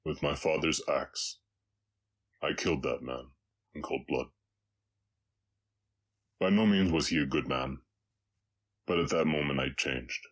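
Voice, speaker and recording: A man voicing a brooding, remorseful orc cowboy outlaw with a slight Southern US accent, speaking haltingly and somberly in an intimate confessional roleplay. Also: rumbling low, fairly bright tone, full character voice. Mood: bitter, dark. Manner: halting, somber, long pauses. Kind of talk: intimate scripted roleplay audio, confessional storytelling.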